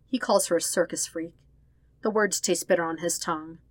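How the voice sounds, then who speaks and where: A woman reading a story as a scripted narration, expressive and leaning on the emotional words. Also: fairly low pitch, moderate pace, no echo. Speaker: fan fiction podfic reader.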